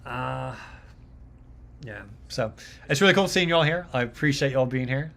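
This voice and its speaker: A man's voice: medium pitch, normal speed, gravelly, fairly bright tone. A young man hosting a live mixing stream, chatting casually and rambling with lots of fillers and repeated words. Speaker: livestream host, mixing engineer. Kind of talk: casual livestream talk.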